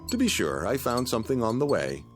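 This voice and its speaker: An actor playing a mild, penny-pinching husband in a radio drama, genteel, fussy and wordy, often musing to himself. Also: male voice, mid-range voice, big pitch swings, brisk, soft background music, natural voice. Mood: smug, mild. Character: mild, penny-pinching husband, eager about free bargains.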